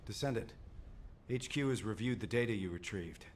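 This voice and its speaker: An actor playing a grave male military commander with a steady, serious briefing tone. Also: on the low side, normal speed, noisy background, natural voice.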